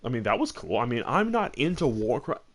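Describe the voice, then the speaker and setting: Animated man rambling about his opinions. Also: medium pitch, brisk. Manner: rambling, emphatic, repeats phrases for stress. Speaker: host of a gaming discussion show. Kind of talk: casual chat, opinionated commentary.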